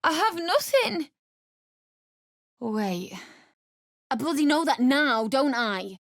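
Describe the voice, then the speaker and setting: Game role: grieving, bitter young widow, slow emotional delivery, very dry recording. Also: female voice, sits high, sing-song, fairly bright tone, no echo, full character voice. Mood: bitter, resigned. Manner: emotional, pleading, blunt. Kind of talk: video game character dialogue.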